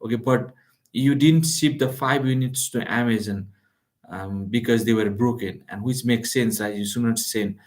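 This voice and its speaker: A man's voice: medium pitch, normal speed, a little mellow. A man with a delivery giving a live how-to lesson, talking loosely with many fillers and restarts. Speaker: online business instructor. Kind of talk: live-streamed how-to talk. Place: lives in Calgary.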